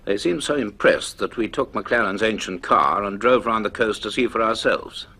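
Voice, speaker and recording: An actor playing a grave, dryly authoritative professor in a radio serial, speaking in a measured, formal way. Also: male voice, lowish voice, sharp tone, husky, full character voice. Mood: reflective. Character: grave, dryly authoritative male university professor recording his notes. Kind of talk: dramatised narration in a radio serial.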